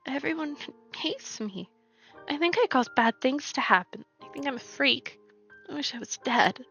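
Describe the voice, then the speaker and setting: Hurt, withdrawn young girl, quiet and tearful, bright tone, a woman doing it. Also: sits high, lively intonation, normal speed, creaky, husky, soft background music, voice pushed up, heavily acted. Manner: soft, broken, tearful.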